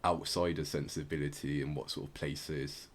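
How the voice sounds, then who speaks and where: Man, podcast host, deep voice, halting explanatory storytelling, informal, noisy background. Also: level tone. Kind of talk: informal explanatory music history talk.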